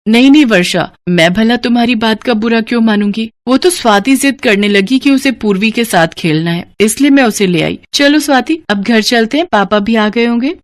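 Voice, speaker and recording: A woman voicing a mild, accommodating poor housewife and mother in an animated moral story. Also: fairly high pitch, normal speed, few pauses, no room sound, studio quality, natural voice.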